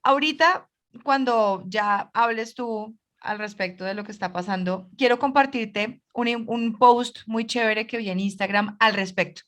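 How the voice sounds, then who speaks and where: A Colombian woman co-hosts a relaxed podcast chat. Her voice sits a little high for a woman. She talks in a lively, chatty way and often jumps in with quick remarks. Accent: Colombian Spanish. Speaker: podcast co-host. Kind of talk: casual chat.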